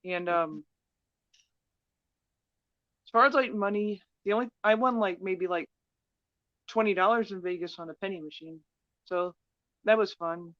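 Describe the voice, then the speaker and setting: Halting woman on a dull, dry connection. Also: medium pitch, dry recording. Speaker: stream panelist. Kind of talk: casual personal storytelling.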